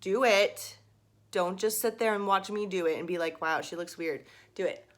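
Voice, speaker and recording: A young adult woman voice teacher talks through warm-up tips in a casual, filler-heavy way. A slight gravel shows in her lower register as she demonstrates exercises. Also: normal speed. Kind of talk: casual video lesson.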